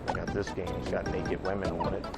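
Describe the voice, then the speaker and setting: Low, gravelly man grumbling over games. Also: level tone, fast. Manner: grumbling, sweary, offhand. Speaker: gaming channel host. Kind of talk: casual rambling gameplay commentary. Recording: loud game audio behind voice. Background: loud retro video game music and sounds.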